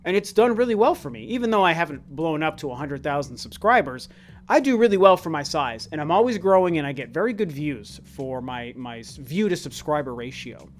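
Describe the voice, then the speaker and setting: A man's voice: a little high, very animated, brisk. A man around forty hosting a casual question-and-answer chat, talking earnestly with a tired, worn voice. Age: about 40. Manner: earnest, conversational, with frequent restarts. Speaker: YouTube channel host.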